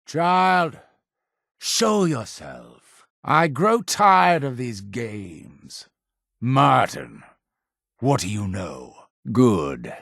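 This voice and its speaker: A man's voice: medium pitch, very animated, drawn-out words, vocal fry, dry recording, studio quality, exaggerated voice. An actor playing a paranoid, imperious male cult leader in a video game, with a theatrical, preachy and menacing delivery. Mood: impatient, searching. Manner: theatrical, preachy, menacing, swinging from hushed to shouting. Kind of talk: dramatic video game voice acting.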